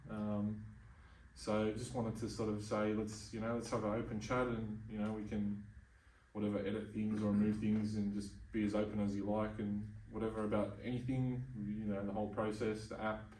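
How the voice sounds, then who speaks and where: Aussie bloke asking questions, laid back, lots of "you know", lowish voice, roomy echo. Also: male voice, normal speed, recorded from a distance, a little hiss or hum. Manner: relaxed and rambling, with lots of fillers. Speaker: interviewer. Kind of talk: casual interview chat.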